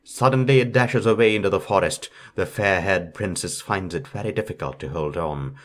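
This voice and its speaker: Guy with a low voice reading out an old fairytale. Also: normal speed. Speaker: storyteller. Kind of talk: scripted fairytale narration.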